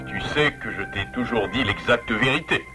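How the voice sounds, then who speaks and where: A man's voice: mid-range voice, normal speed, over music, natural voice. A man playing a modest, honest father beaver in a French cartoon dub, earnest and emphatic in his protests. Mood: solemn, sad.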